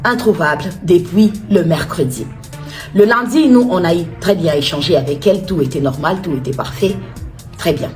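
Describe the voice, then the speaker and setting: A woman from Kinshasa making an anxious appeal as a mother, halting and full of restarts. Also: on the low side, animated, a little mellow, faint music behind. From Congo (Kinshasa). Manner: halting, distressed, many restarts. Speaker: mother of a missing student. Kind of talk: personal appeal video.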